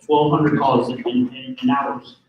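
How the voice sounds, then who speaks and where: Loud fire dept guy talking to a room, lots of ums, super echoey and far off the mic. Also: mid-range voice, little pitch movement, takes it slowly, dull audio, background noise. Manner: hesitant, many fillers and restarts. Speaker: fire marshal office representative. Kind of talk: public safety briefing.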